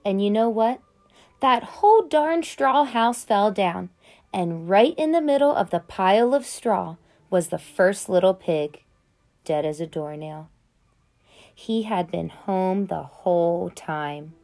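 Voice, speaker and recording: A woman voicing a sly, smooth-talking male wolf who tells his side of the story in a chatty, conspiratorial way, read aloud from a children's picture book. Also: medium pitch, animated, slow pace, warm tone.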